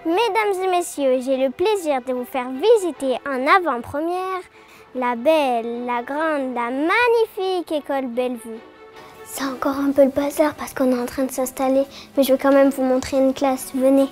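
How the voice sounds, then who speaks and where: A woman with a high-pitched voice presents informally to the camera. Music is clearly heard behind her. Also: moderate pace, a little mellow.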